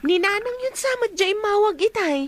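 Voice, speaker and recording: A high-voiced actress as a sympathetic friend in a radio drama. She speaks with caring curiosity, asking after others. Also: fairly quick. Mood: inquisitive.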